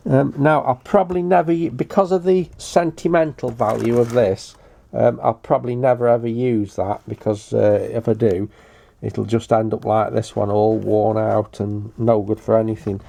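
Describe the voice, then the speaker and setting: A man's voice: medium pitch, level tone, normal speed, creaky, warm tone. An older British man giving a casual show-and-tell commentary in a hesitant, matter-of-fact way. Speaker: hobbyist video presenter.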